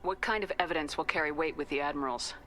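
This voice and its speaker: A woman's voice: deep voice, fast, fairly bright tone, faint music behind. An actress playing a firm, assertive female starship captain in a video game, direct and businesslike. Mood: firm, probing. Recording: clear background noise.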